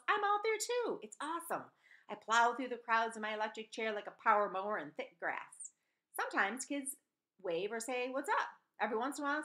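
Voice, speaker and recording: A woman in her forties reading a novel aloud expressively, with the odd stumble, into a computer mic in a room at home. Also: mid-range voice. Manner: animated, with stumbles and self-corrections. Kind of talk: expressive storybook read-aloud. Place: small room at home, indoors. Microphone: computer webcam mic at desk distance.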